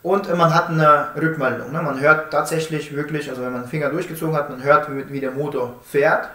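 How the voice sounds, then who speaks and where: A man's voice: on the high side, fairly even intonation, brisk, bright, forceful tone. A man in his thirties giving a casual technical explanation, often tacking questioning tags onto his statements. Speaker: presenter explaining smart-home door products. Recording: roomy indoor echo.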